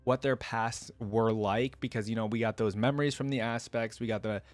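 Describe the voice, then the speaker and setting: A man's voice: medium pitch, steady tone, normal speed, no room sound, faint music behind. A man chatting casually and informally to his viewers as a reaction video host, rambling conversationally as he goes. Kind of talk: casual unscripted chat to viewers.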